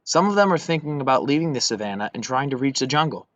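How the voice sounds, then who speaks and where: A man's voice: mid-range voice, animated, fast, no room sound, natural voice. A man voicing a tired, hungry monkey friend in a mild children's storybook reading. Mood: weary, worried. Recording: dull lo-fi recording.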